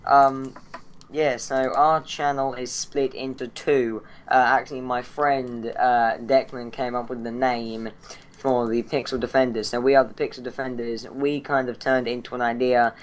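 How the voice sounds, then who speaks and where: Croaky teenage British lad. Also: male voice, mid-range voice, little pitch movement, measured pace. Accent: British English. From Britain. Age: teens. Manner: rambling, chatty, many fillers and restarts. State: hoarse, croaky from a sore throat. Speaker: channel host. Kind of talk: casual gaming channel intro.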